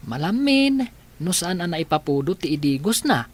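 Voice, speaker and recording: A woman playing a gentle, caring young boy, an older brother, in a radio drama with an Ilocano accent. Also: on the low side, sing-song, moderate pace, slightly rough.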